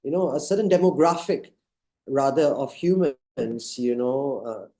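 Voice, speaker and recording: A man's voice: mid-range voice, unhurried, warm tone. A man from Singapore moderating an online panel discussion, talking in a hesitant way with many fillers and restarts.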